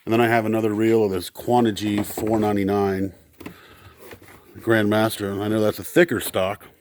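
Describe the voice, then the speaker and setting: A man giving a casual, unscripted demonstration, explaining things in a halting, rambling way and often correcting himself. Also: fairly low pitch, level tone, darkish tone, slight background noise. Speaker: presenter testing vintage audio gear.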